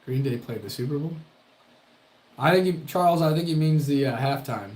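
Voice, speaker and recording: A man's voice: on the high side, lively intonation, normal speed, darkish tone, reverberant, low-quality recording. A young man from Ohio hosting a casual livestream, chatting with viewers in a laid-back, profane way, his voice a little strained. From Toledo, Ohio, United States. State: voice strained, worried about losing it. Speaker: livestream host. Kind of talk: casual livestream chat reading viewer comments.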